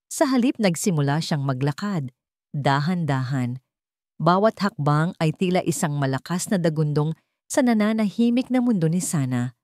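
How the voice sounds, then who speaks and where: A Filipino woman reads a dramatic story as its storyteller. Her voice sits on the low side and is recorded dry and close, with no room around it. The delivery is measured and solemn. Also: darkish tone, no echo. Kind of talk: scripted dramatic story narration.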